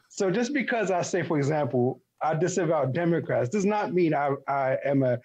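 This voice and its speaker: A man from Ethiopia explaining his views as an interview guest, haltingly repeating words as he builds formal phrases. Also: fairly high pitch, animated, a little mellow, slight background noise, poor audio. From born in Ethiopia. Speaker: interview guest, article writer. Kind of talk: thoughtful conversational explanation.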